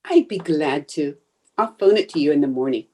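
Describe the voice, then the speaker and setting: A woman's voice: sits low, expressive, moderate pace, dark tone. A woman playing a proud, defensive housewife in an old-time radio sitcom, with an indignant, easily flustered delivery. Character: proud, defensive housewife bluffing about her cooking.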